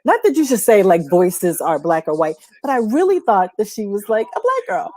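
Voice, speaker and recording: A woman's voice: mid-range voice, sing-song, moderate pace, dark tone. A woman co-hosting a music talk show, chatting casually with emphatic, slangy enthusiasm. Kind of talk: casual, unscripted chat.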